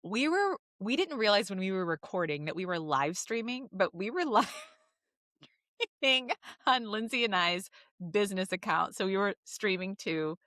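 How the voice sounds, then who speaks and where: A woman's voice: medium pitch, lively intonation, normal speed, a bit bright, no room sound, clean studio sound. A woman co-hosting a podcast in casual comedic chat, playful and giggly. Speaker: podcast co-host.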